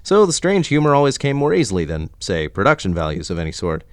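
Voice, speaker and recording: A man's voice: lowish voice, sing-song, fast talker, no echo, clean studio sound. A man hosting a playful scripted podcast interview with a wry, mock-formal manner. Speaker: podcast host.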